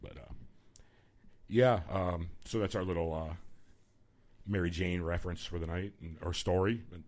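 A man's voice: fairly even intonation, normal speed, a little mellow. A man, hosting a radio show in loose, joking, rambling banter. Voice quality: baritone. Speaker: radio host.

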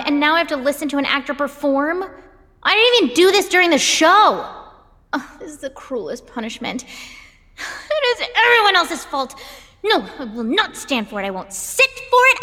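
An actress playing an unhinged, self-pitying diva in a melodramatic, indignant comic inner monologue. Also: female voice, high-pitched, very animated, normal speed, bright, forceful tone, full character voice. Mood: furious, defiant. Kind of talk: comedic inner monologue in audio drama. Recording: long reverb, music underneath.

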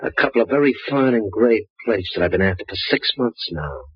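A raspy, gruff gunman. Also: fairly low pitch, expressive, fairly quick, dry recording. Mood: threatening. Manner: gruff, barking orders. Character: tough male gunman, hard and threatening. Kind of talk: 1940s radio acting. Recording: dull old radio recording.

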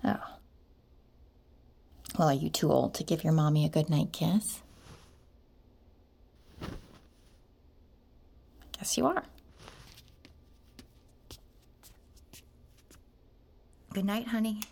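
A woman playing an anxious, weary suburban mother in a naturalistic audio drama, talking in a conversational, often hushed way with an exasperated edge. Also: deep voice, animated, slow, creaky, long pauses, heavily acted. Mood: tender, playful.

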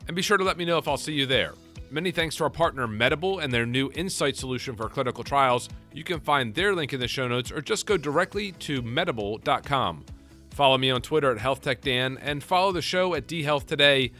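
A male podcast host with a quick, upbeat delivery. His voice is bright and forceful, and soft music plays faintly under it. He sounds polished, like someone who does this every week. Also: mid-range voice, animated. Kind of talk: interview podcast.

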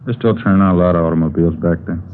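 A man's voice: deep voice, steady tone, rapid-fire, narrow, tinny audio, natural voice. An American man playing a glum, beleaguered wrecking-yard owner in a 1950s radio drama, plain-spoken and resigned. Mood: resigned, complaining. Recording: old band-limited radio broadcast, faint noise.

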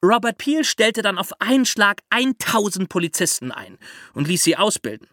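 A man's voice: high voice, very animated, moderate pace, fairly bright tone, no echo, clean studio sound. A man hosting a podcast, explaining things conversationally and addressing young listeners directly. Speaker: podcast host.

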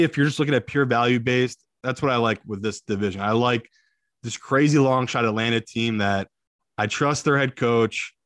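A man's voice: medium pitch, fairly bright tone, no room sound, clean studio sound. A man co-hosting a betting show as an analyst, chatting casually in a blunt, opinionated way with emphatic repetition. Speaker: sports betting analyst, podcast co-host. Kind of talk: casual sports betting chat.

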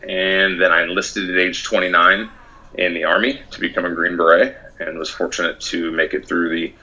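A man being interviewed about his past in a measured way, with frequent fillers and restarts. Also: sits low, steady tone, pushed and bright, muffled recording. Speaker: guest, former soldier.

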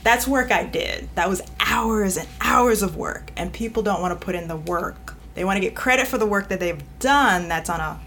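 A woman's voice: medium pitch, moderate pace, few pauses, a bit bright, a little hiss or hum. A woman from New York, a guest editor talking directly in a casual podcast chat and laughing often as she speaks.

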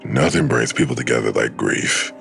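A gruff detective guy in a radio play, super low gravelly voice over music. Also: steady tone, normal speed, fairly bright tone, full character voice. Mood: businesslike. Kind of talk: audio drama acting.

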